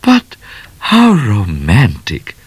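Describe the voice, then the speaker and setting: A man's voice: on the low side, wildly swooping pitch, very slow, slightly rough, dry recording, pitched above the performer's own voice, heavily acted. A man voicing a romantic, self-assured genteel young lady in a storybook, effusive, coy and theatrical. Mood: delighted.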